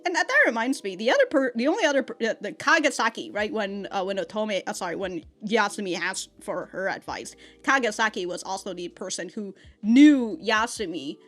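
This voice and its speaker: A woman's voice: medium pitch, animated, a little fast, hardly any pauses, a bit bright, faint music behind. A woman giving a chatty, rambling review as a reaction host, with casual slang and swearing. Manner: profane, slangy, laughs often while talking.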